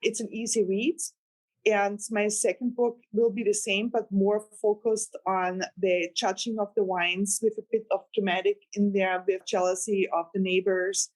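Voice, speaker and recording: A woman's voice: mid-range voice, little pitch movement, moderate pace, a little mellow, low-quality recording. Austrian-accented woman novelist chatting. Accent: German-speaking, Austrian. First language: German. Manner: earnest, rambling, laughs with delight. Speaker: novelist guest. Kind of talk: casual interview chat.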